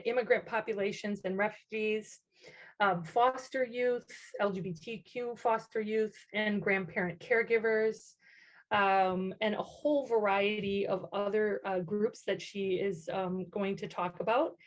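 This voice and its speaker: A woman's voice: mid-range voice, steady tone, measured pace, poor audio. A woman hosting a webinar, introducing the guest speaker with many fillers. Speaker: webinar host.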